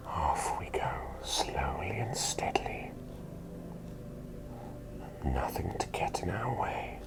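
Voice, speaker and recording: A man playing a gentle, doting plague doctor in a soothing whispered ASMR roleplay, attentive and softly playful. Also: sits low, animated, slow, vocal fry, heavily acted. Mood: tender, reassuring.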